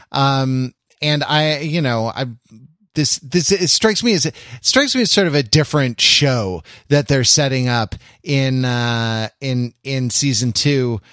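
Halting man chatting on a podcast. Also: mid-range voice, fairly bright tone, no room sound, studio quality. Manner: halting, hedging, thinking aloud. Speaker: podcast host. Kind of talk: casual discussion of a TV show.

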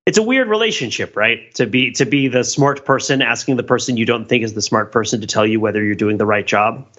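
A man's voice: mid-range voice, fairly quick, fairly bright tone, lo-fi sound. A man co-hosting a casual podcast, explaining things in a rambling way and often interrupting himself. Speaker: podcast co-host.

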